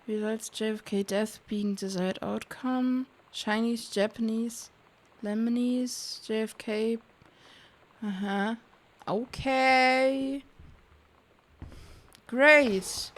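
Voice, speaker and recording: A woman doing casual livestream game commentary, reading on-screen text aloud in a halting, puzzled way, muttering guesses as she goes. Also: fairly high pitch, steady tone. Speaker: game streamer.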